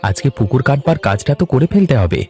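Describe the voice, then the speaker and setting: A man's voice: mid-range voice, very animated, fast, darkish tone, close to an everyday voice. A man playing an enterprising, eager younger farmer brother who is respectful to his elder, in a cartoon. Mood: persuasive. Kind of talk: cartoon dialogue. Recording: music under the voice. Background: instrumental music.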